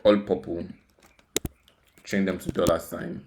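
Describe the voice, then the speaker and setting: Low-voiced man, rambling casually. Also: flat delivery, a little slow, noisy background. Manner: rambling, self-deprecating, repeats words and phrases. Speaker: tutorial host, software developer. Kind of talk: casual, unscripted coding screencast walkthrough.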